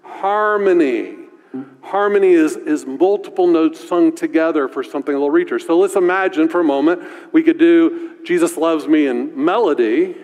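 A male pastor with a deep voice preaching a sermon in a conversational way, often asking "right?". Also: normal speed, darkish tone, big-room sound, faint music behind, poor audio.

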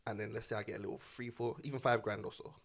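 A man speaks casually among a group. His delivery is rapid, his pitch a little low, and he uses slang as he makes sure people follow what he means. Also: fairly even intonation, creaky. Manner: slangy, checks listeners follow.

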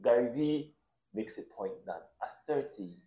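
A man's voice: medium pitch, lively intonation, measured pace, warm tone. A man co-hosting a podcast in casual conversation, often chiming in with agreement. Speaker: podcast co-host. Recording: echoey room.

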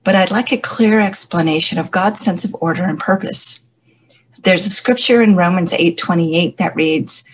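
A woman who stutters, a church elder, giving a measured, reflective personal faith testimony. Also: lowish voice, slight background noise, poor audio.

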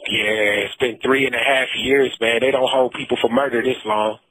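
A man's voice: medium pitch, steady tone, moderate pace, fairly bright tone, breathy edge. A man talking casually and insistently over a prison phone line, repeating himself as he tells his own story. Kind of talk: casual, pleading phone talk. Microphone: telephone.

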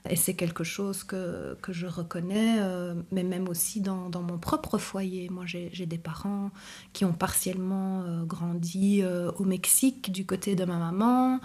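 A woman's voice: lowish voice, steady tone, darkish tone. A woman speaking casually and eagerly in an interview, often restarting her phrases. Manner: enthusiastic, halting, restarts phrases.

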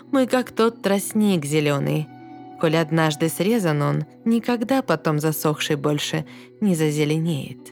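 A wise old mermaid grandmother, with a low, slow voice. She speaks in measured verse, calm, kindly and matter-of-fact, in a fairy-tale audio play over faint music. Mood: solemn explaining. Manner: measured, explanatory.

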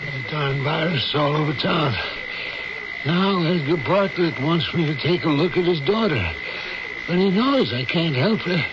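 A worn-out, ailing old country doctor, grumbling and fatherly. He talks slowly and haltingly. The sound is a muffled, very noisy vintage radio drama. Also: a little high, animated. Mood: grave, resigned. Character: weary ailing older male small-town family doctor. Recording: noisy, band-limited old radio recording.

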